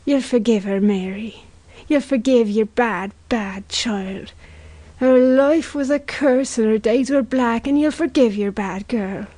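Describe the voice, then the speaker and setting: Female narrator as sanctimonious neighbour woman, motherly, sing-song churchy lament, gushing pity, storybook drama, slow delivery. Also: on the high side, steady tone, gravelly, darkish tone, no room sound. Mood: pious lament. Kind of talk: literary audiobook character acting.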